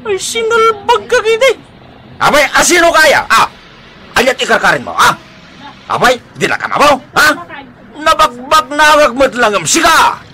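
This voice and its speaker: A man's voice: very high-pitched, wildly swooping pitch, moderate pace, bright, forceful tone, full character voice, low-quality recording. A man with an Ilocano accent playing a hot-tempered, quarrelsome bully in a radio drama, scolding and exclaiming in bluster. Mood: threatening.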